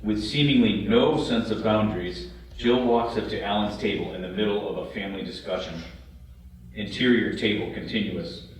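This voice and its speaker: A man's voice: on the low side, normal speed. A man reading scripted stage directions at a table read. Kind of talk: scripted narration. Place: echoey room, away from the mic. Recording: echoey room, distant mic, faint noise.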